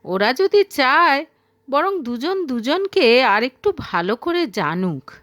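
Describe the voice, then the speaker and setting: A woman's voice: sits high, big pitch swings, slow pace, fairly bright tone, no echo, studio quality, heavily acted. A woman voicing a polite, agreeable middle-aged father speaking in a courteous, conciliatory way in an audio story. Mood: pleased, placating.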